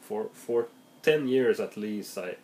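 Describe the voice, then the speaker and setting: A man with a heavy cold, his voice raspy, talking casually and hesitantly with many fillers as he shares tips for game masters. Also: lowish voice, animated, takes it slowly, darkish tone, slight background noise. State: has a heavy cold, voice deeper and raspier than usual. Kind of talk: casual vlog monologue.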